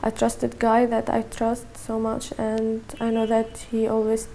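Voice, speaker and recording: A young woman, her voice mellow and touched with vocal fry. She talks in a flat, halting way, restarting phrases as she recounts her own story to camera. A faint hiss of noise sits under the recording. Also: a little high. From Syria. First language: Arabic. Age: early 20s. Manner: halting, soft-spoken, many restarts and fillers. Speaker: activist telling her story. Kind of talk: personal testimony to camera.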